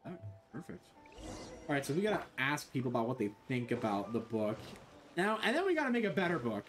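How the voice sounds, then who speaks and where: A young man from Florida streaming a video game from his bedroom, joking, swearing and reacting loudly. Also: medium pitch, sing-song, a little fast, soft background music. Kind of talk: casual game-stream commentary. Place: small bedroom indoors. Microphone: desk mic, wearing a headset.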